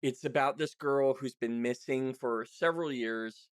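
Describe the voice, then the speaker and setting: A man hosting a casual interview, talking in an animated, opinionated, informal way. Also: mid-range voice, a little slow, clear, resonant tone, dry recording, studio quality. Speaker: podcast host interviewer.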